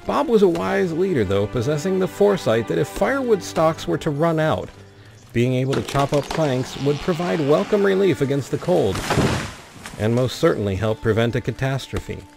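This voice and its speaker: A man's voice: fairly high pitch, big pitch swings, moderate pace, creaky, over music. A man hosting a gaming video, commenting on play and reading the game's story text aloud. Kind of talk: let's play commentary mixed with reading in-game narration aloud.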